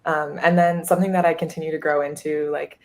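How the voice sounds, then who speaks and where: A woman's voice: low voice, level tone, fast talker. A woman in her twenties, a college athlete, chatting upbeat in a podcast interview with many fillers. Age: 20s. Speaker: guest, college goalkeeper.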